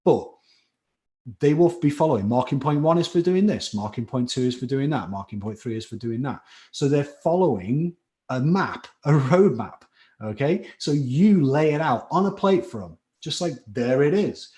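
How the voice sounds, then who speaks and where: Chatty Scouse chemistry tutor. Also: male voice, medium pitch, animated, moderate pace, mellow. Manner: chatty, encouraging, reads out chat names. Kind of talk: live online class teaching.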